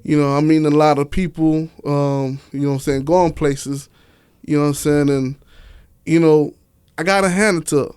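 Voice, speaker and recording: Higher-voiced American man, smooth, slangy. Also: steady tone, moderate pace, darkish tone, dry recording, studio quality. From Miami, Florida, United States. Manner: emphatic, colloquial, peppers talk with "you know" and "man". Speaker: interview guest, author and stage play director. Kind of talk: casual interview chat, slangy.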